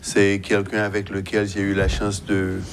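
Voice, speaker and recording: Man, halting and explanatory. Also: fairly low pitch, monotone, a little hiss or hum. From United States. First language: English. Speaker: guest commentator. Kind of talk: explanatory talk show interview.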